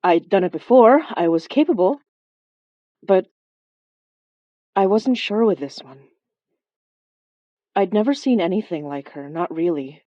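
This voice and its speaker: Woman acting as compassionate ghost investigator, lowish female voice, dry close sound, introspective, wry horror podcast narration. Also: dark tone, no room sound, dull audio. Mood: uneasy, reflective. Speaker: narrator and lead character. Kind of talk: first-person horror audio drama narration.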